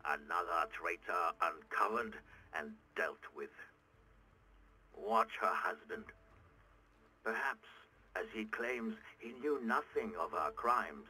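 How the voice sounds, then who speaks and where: An actor playing a weary, driven middle-aged revolutionary leader in a video game audio log, with a breathy, gritty half-whisper and halting, brooding delivery. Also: male voice, sits low, lively intonation, sharp tone, exaggerated voice, poor audio. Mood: cold, suspicious. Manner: brooding, deliberate, halting monologue.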